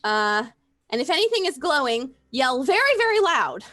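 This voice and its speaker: A woman improvising as a player in a tabletop roleplaying game, hesitant and halting in her delivery. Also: sits high, very animated, a bit bright.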